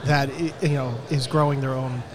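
A man's voice: mid-range voice, steady tone, moderate pace. A male host asks casual, joking questions in an interview. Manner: jokey, conversational questions. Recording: outdoor crowd noise.

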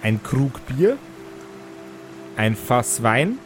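A man's voice: mid-range voice, very animated, drawn-out words. Man narrating a tabletop game over music. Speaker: game master and narrator of a tabletop role-playing game. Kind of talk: live tabletop game narration and improvised dialogue. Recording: music behind the voice.

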